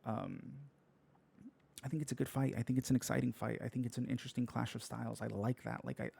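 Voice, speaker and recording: Man, croaky, sleepy, very gravelly, dark tone, rambling, casual swearing, solo show host. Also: medium pitch, steady tone, fast. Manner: rambling, with many fillers and restarts. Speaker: podcast host. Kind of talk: casual solo fight recap.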